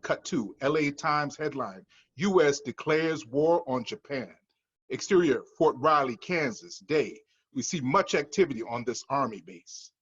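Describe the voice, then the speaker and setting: Guy narrating a script, husky mid voice, dull sound w faint music. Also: medium pitch, expressive, unhurried. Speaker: stage directions reader. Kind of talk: scripted screenplay narration at a table read.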